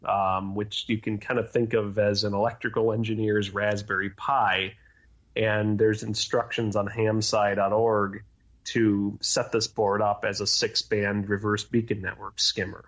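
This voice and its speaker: A man's voice: lowish voice, level tone, unhurried, fairly bright tone. A man being interviewed as a guest, explaining things in technical detail. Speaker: interview guest, contest organizer. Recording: dull, lo-fi band-limited audio.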